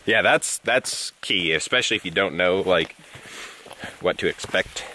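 Deep-voiced, laid-back young man out hiking. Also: unhurried, pushed and bright. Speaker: hiker filming a vlog. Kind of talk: casual chat. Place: outdoors on a forest mountain trail. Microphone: handheld camera microphone. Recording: outdoor trail recording with handling noise.

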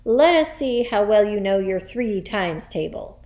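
A woman's voice: a little high, animated, normal speed, close to an everyday voice. A woman voicing a huge, bullying headmistress in a children's story, barking orders and insults. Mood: booming, scornful. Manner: barking, snapping, shouted commands and insults. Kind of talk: children's storybook character reading.

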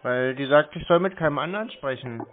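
A man's voice: fairly high pitch, brisk. A man chatting casually on the phone in a hesitant, rambling, naively helpful manner. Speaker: prank caller answering scam calls. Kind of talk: casual phone conversation, playing a naive customer. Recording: tinny phone-like audio with faint noise.

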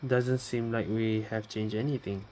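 A woman's voice: very deep voice, steady tone, normal speed, noisy background. A woman giving casual commentary while playing a video game. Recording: loud game music behind.

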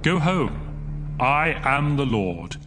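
An actor playing a commanding, solemn God, declamatory and authoritative, in a dramatised scripture reading. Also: male voice, medium pitch, big pitch swings, drawn-out words, music in the background. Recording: long hall reverb.

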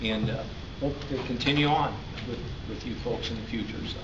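A man's voice: medium pitch, brisk. An older man telling a story with chuckles and rambling thanks to coworkers at a company picnic. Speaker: manager speaking before a pie in the face. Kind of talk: casual speech to coworkers. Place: large indoor hall at a company picnic. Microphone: far camera. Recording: echoey hall from far off, dull lo-fi camera audio. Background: noisy crowd of coworkers.

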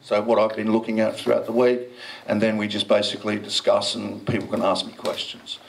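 A man's voice: on the low side, completely flat delivery, a little fast, vocal fry, a little hiss or hum, rough recording. A middle-aged man giving a joking, self-mocking talk at a meetup, speaking into a handheld mic in a dim event room. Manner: cheeky, self-mocking, banters with the audience. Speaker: presenter at a blockchain meetup.

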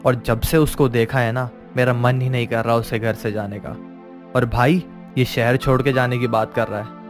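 A man playing an emotional, lovesick younger brother, plaintive and colloquial, in an animated story. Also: mid-range voice, normal speed, soft background music, close to an everyday voice. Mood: pleading.

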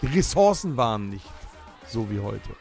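A man's voice: on the low side, very animated, normal speed, darkish tone, soft background music. A man hosting a podcast guessing game among friends, teasing the others and giving hints between laughs. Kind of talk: casual chat among friends.

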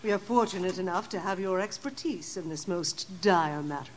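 A woman's voice: lowish voice, moderate pace, natural voice. An actress playing a formal, dignified Victorian lady mayoress in an audio drama, with a stately, official manner. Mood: formal, diplomatic.

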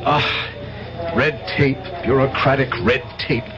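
A man's voice: mid-range voice, flat delivery, slow, highs cut off, crowd noise, close to an everyday voice. An actor playing an irritable, indignant man who complains impatiently, in a 1970s radio drama.

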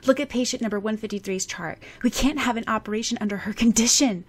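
A woman's voice: on the high side, fast talker, voice pushed up, lightly acted. A woman voicing a weary hospital doctor who talks in a firm, condescending way. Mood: worried.

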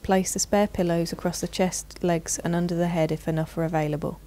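Mellow, low-pitched woman narrating instructions. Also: flat delivery, fairly quick, a little hiss or hum. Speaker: narrator of a product training video.

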